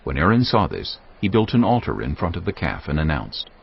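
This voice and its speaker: Male narrator, low voice, expressive, formal scripture reading, muffled audio, loud music underneath. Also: fairly quick, creaky, husky. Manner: steady, formal storytelling. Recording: dull lo-fi audio with loud background score.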